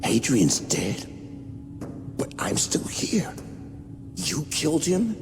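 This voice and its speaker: Gruff undead tough guy, slow and menacing, widely swinging pitch, breathy rasp, bitter game-villain edge. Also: huge pitch swings, in a whisper, full character voice. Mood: cold, vengeful, then furious. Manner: slow, menacing, sardonic, slangy. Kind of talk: dramatic video game voice acting. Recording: loud music behind the voice.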